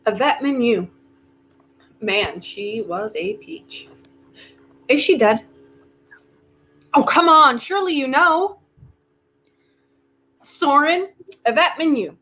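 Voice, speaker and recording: A woman acting in a staged play reading as a desperate, quick-witted, volatile woman who argues in a halting, emphatic way, thinking aloud. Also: high-pitched, lively intonation, sharp tone, heavily acted, low-quality recording. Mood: probing, wry.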